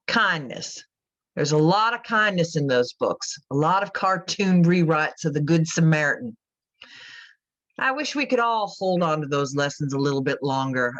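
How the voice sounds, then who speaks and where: An actress playing a world-weary, religious middle-aged mother in an audio drama, plainspoken, measured and folksy. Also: low voice, fairly bright tone, dull audio. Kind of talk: naturalistic podcast radio drama acting.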